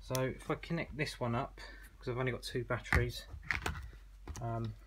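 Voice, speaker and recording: A man's voice: medium pitch, fairly even intonation, moderate pace, heavy background noise. A man giving an informal product demonstration as an online seller, explaining in a conversational, slightly hesitant way.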